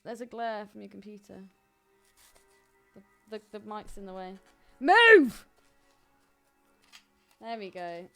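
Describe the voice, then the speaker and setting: A woman co-hosting a podcast in casual banter, excitable, blunt and quick to swear. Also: mid-range voice, smooth, faint music behind. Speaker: podcast co-host.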